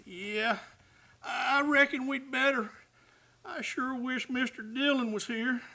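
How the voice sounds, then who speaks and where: A flustered deputy's helper from a radio Western, acted by a man. His American country drawl is high and sharp with a gravelly crackle, anxious and hesitant yet loyal. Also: takes it slowly, full character voice. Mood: worried, flustered. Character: anxious, loyal folksy male deputy sidekick. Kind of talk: Western radio play acting.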